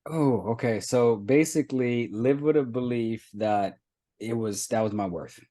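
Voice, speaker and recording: An American man in his thirties, a guest telling his own story in an earnest, reflective way, often pausing with fillers. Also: mid-range voice, warm tone. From United States. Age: 30s. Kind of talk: candid personal testimony.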